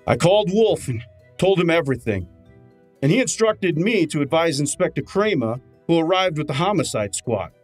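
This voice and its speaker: A man's voice: fairly high pitch, lively intonation, moderate pace, soft background music. A man playing a brash, wisecracking detective's assistant and narrator in a snappy old-time radio drama style. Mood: wry narrating. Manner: snappy, hard-boiled, wisecracking.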